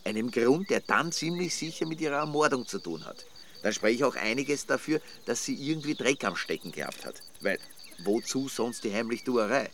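An actor playing a sardonic, nosy amateur detective in a radio play, speaking dryly and colloquially. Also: male voice, medium pitch, expressive, normal speed, fairly bright tone, a little hiss or hum. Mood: inquisitive. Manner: dry, sarcastic, colloquial. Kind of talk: naturalistic radio play dialogue. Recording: outdoor ambience with birds and insects.

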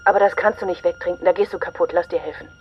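A fairly low female voice by an actress plays a worried, direct woman in a radio drama. She presses urgently, with faint hum behind her. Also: steady tone, rapid-fire, muffled recording, lightly acted. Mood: urgent, confronting. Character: worried, confrontational woman arguing with a drinking man.

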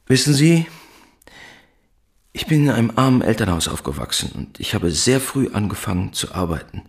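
Middle-aged father, radio drama, low creaky voice, guarded, weary, subdued, terse phrasing. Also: very animated, normal speed. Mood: wistful, subdued. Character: guarded, weary working-class father of a missing son. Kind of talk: German radio drama acting, subdued realism.

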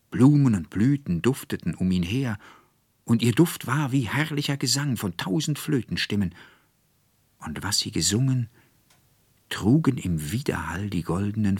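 A man narrating a literary tale as an audiobook reader, in an expressive storytelling style. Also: lowish voice, slightly rough, no room sound.

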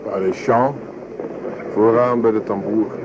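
A man's voice: fairly low pitch, fairly even intonation, measured pace, natural voice. An actor playing a French army man on the march in a radio drama. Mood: weary complaining. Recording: muffled, noisy old radio recording.

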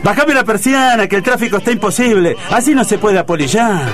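A man's voice: high voice, expressive, fairly quick, over music. An actor playing a melodramatic, self-pitying older father in a comic radio play, plaintive and overwrought, with a Buenos Aires accent. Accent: Buenos Aires Argentine.

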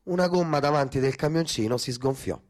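An actor playing a sardonic working-class Roman storyteller, narrating theatrically with a Roman accent. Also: male voice, mid-range voice, a little fast, darkish tone, lightly acted. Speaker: stage actor-narrator in a radio theatre piece.

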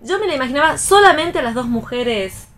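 A woman's voice: high voice, expressive, a little fast, fairly bright tone. A woman with an Argentine accent taking part in a casual radio discussion as a panelist.

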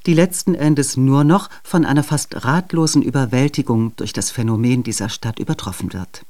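A radio announcer reading a scripted literary introduction to a broadcast in a formal, measured manner. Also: medium pitch, darkish tone.

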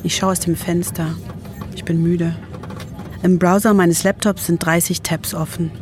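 A woman's voice: fairly low pitch, level tone, unhurried, darkish tone, a little hiss or hum. A woman in her forties delivering first-person narration for a radio documentary, as its author. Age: 40s. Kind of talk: first-person scripted narration with phone calls.

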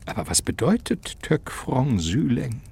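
A man narrating a radio play in an expressive, theatrical style, with suspense and irony. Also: medium pitch, measured pace, creaky, over music.

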